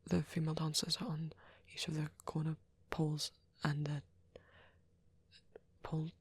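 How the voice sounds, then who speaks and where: A woman plays a shy young vampire boyfriend in an intimate ASMR roleplay. The voice is low and husky with a crackly fry, often slipping toward a whisper. He rambles bashfully, stammering and restarting as he goes. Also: level tone, no echo, heavily acted. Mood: flustered, bashful. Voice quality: soft, breathy, often near a whisper. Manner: hesitant, flustered, halting, with many stammers and trailing restarts.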